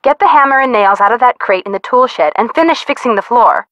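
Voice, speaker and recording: Casting: bubbly young woman, a detective's teasing best friend in an adventure game; bright, animated, dramatic delivery. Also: on the high side, fast, no room sound, lo-fi sound. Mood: upbeat, encouraging. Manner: perky, dramatic, chatty. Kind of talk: video game hint dialogue.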